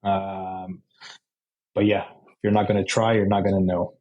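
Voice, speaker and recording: A man with an Israeli accent giving casual interview answers as a guest, in halting, loosely phrased speech. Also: low-pitched, completely flat delivery, dull audio. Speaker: interview guest, bike shop owner.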